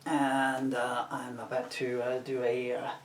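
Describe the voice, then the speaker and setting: A middle-aged man reads a children's book in a loose, chatty way, rambling into jokey asides. His voice sits at an ordinary pitch. The recording is roomy and echoing, with the microphone some way off. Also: completely flat delivery, a little slow, a little hiss or hum. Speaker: author reading from his own children's book. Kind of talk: informal, chatty video book reading. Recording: roomy echo, distant microphone.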